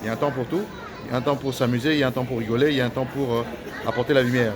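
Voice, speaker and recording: A man's voice: mid-range voice, steady tone, very fast, a crowd in the background. A man whose first language is Lingala, speaking in a heated, preachy and accusatory rant with many hesitations and repeated phrases.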